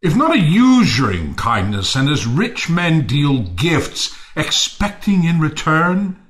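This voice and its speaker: A ruined, misanthropic lord in a Shakespearean audio play. His voice is high and forceful, leaping across a wide range as he declaims with a wild, unstable temper. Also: sing-song, slow pace, exaggerated voice. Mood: suspicious. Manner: declamatory, volatile, swinging from murmurs to shouts. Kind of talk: Shakespearean stage-style audio drama acting.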